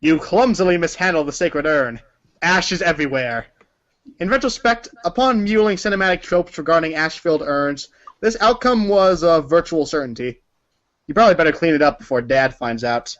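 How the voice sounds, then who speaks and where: High-voiced man reading a story theatrically. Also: lively intonation, normal speed, a bit bright, muffled recording. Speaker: narrator of a group reading. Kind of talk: theatrical read-aloud storytelling.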